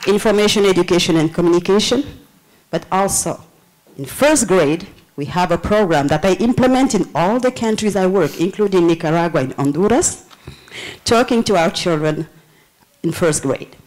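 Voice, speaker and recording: A woman in her forties with a Senegalese accent gives public comment at a community meeting. Her delivery is passionate, and her pitch rises and falls in broad, animated swings. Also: moderate pace, low-quality recording. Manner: passionate, animated, laughs often.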